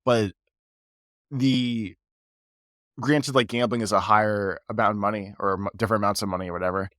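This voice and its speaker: A man with a low voice and a stuffy, congested nose from a cold, rambling through a casual podcast chat. His talk wanders and is packed with fillers. The sound is close and dry, with no room around it. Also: steady tone, normal speed, a bit bright, no echo. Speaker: podcast co-host.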